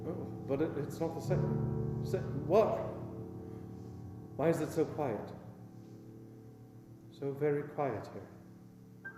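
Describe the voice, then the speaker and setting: An actor playing a frightened, repentant old miser in a radio play, pleading and halting. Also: male voice, fairly high pitch, lively intonation. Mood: bewildered. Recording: echoey hall with music behind.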